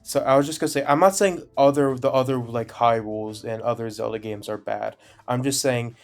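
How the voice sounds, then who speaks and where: Gravelly young man giving opinions. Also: medium pitch, brisk, soft background music. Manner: hedging, filler-heavy, opinionated. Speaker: podcast co-host. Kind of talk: casual chat.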